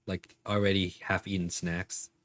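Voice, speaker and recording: A man's voice: low voice, little pitch movement, unhurried, a bit bright. A man acting as game master, narrating a tabletop role-playing game casually in informal, improvised phrasing.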